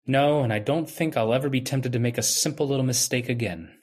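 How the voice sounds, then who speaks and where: A man's voice: medium pitch, a little fast, a little mellow, close to an everyday voice. A man playing a guilt-ridden, deferential young doctor in an old-time radio drama, earnest and subdued. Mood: guilty, deferential. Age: young adult.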